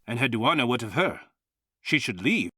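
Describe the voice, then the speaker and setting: A man's voice: mid-range voice, sing-song, moderate pace, pushed and bright, husky, dry recording, clean studio sound, heavily acted. Worried, urgent ancient king. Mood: anxious, urgent. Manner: grave, urgent.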